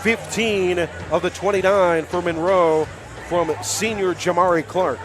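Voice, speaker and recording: High-pitched man calling basketball over crowd noise. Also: fairly even intonation, measured pace, over music. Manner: partisan home-team call, exclamatory on big plays. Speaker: basketball play-by-play announcer. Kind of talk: live radio sports play-by-play commentary. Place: high school basketball gym. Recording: loud crowd and bouncing balls in gym. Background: loud crowd noise, basketball bounces.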